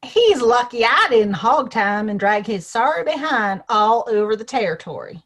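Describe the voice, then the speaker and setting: Woman playing a sassy high-voiced saloon gal. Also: sing-song, normal speed, a bit bright. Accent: American. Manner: flirty, sassy, folksy. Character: sweet-talking, spirited Old West saloon woman. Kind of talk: Western audio play acting.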